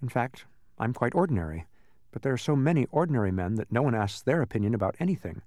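A man's voice: mid-range voice, very animated, fast talker, a little mellow, dry recording, studio quality, natural voice. A man voicing a cheerful, proud, absent-minded ordinary fellow in a storybook, chirpy and emphatic. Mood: confiding. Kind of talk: audiobook character voice.

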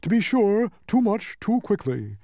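A man's voice: high-pitched, big pitch swings, a little slow, mellow, no echo, clean studio sound, voice pushed up, heavily acted. A man voicing a pompous, fickle, gluttonous bug in a storybook, with florid, emphatic, self-important declarations. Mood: wheezing, distressed.

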